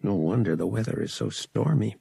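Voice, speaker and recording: A man's voice: lowish voice, a little fast, creaky, mellow, husky, no echo, full character voice. A man voicing a young pilot in an audiobook, curious and wry, with a casual, dry manner. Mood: wry, muttering.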